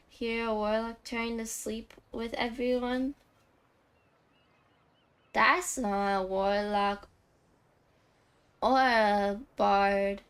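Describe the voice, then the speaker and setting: Unhurried, higher-voiced woman streamer. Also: smooth. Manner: musing, jokey. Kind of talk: casual live game commentary. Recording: streamed voice over faint game music.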